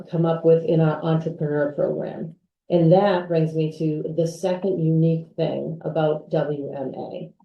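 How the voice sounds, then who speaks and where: A woman's voice: deep voice, creaky, dark tone. A woman who is a school's associate head and dean, giving a polished, upbeat presentation. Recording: echoey room from far off.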